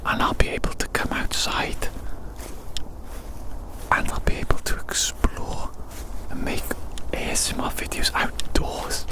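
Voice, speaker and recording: An ASMR creator rambling chattily in a breathy, unvoiced whisper outdoors, softly repeating phrases. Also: unhurried. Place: outdoors in the woods in winter. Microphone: action camera.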